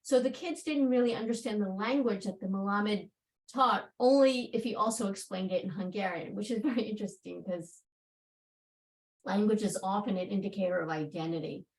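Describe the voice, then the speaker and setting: A woman's voice: medium pitch, normal speed, a little mellow, roomy sound, distant mic, rough recording. A woman giving an online lecture in a conversational way, with frequent fillers and self-corrections, talking over a video call from a home office. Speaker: educator giving a webinar talk. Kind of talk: lecture reading oral-history excerpts aloud.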